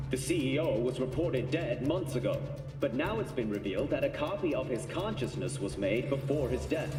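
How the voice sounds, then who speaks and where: Music plays under a reverberant hall recording of an actor as a male newsreader in a futuristic game. His medium-pitched voice is grave and formal, in broadcast news style. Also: lightly acted. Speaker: news reporter. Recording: echoey distant hall sound under music.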